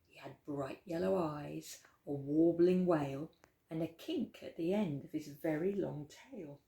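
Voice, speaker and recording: A woman reading a rhyming children's picture book aloud as a storytime host, with expressive, dramatic emphasis. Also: low voice, a little slow, creaky. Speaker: library storytime reader. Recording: roomy, echoey indoor sound.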